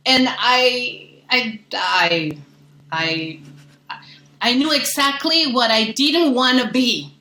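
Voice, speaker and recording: A woman's voice: on the high side, slow pace, pushed and bright, echoey room, rough recording. A woman in her sixties from Mexico City with a Mexican accent and Spanish as her first language, telling stories as a podcast guest with a wry, self-mocking manner. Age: 60s. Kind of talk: casual chat.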